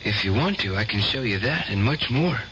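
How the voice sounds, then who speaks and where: A man's voice: on the low side, expressive, fairly quick, pushed and bright, muffled recording. An actor playing an earnest, touchy, intense young man in a radio drama, speaking insistently. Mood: earnest, confident. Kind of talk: 1970s radio drama acting. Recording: faintly noisy old radio recording.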